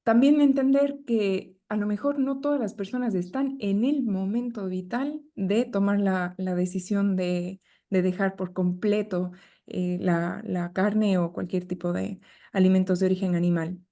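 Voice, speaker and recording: A woman in her thirties with an Ecuadorian accent, a guest nutritionist speaking reflectively and gently, with hesitant repetitions. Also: mid-range voice, fairly even intonation, measured pace. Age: 30s. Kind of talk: conversational podcast interview.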